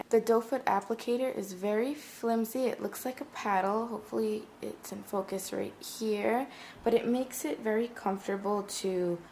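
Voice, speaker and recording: A woman reviewing a product in a casual, chatty way, talking straight to her viewers, with a slightly raspy early-morning voice. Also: mid-range voice, dark tone, a little hiss or hum. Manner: chatty, talks to viewers directly. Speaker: beauty vlogger.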